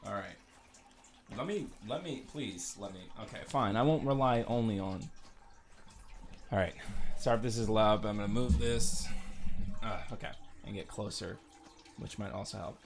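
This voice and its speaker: A man's voice: moderate pace, creaky, pauses often. A man giving casual, rambling gameplay commentary with joking asides to viewers. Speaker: gamer recording a playthrough. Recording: noisy, uneven low-level home recording.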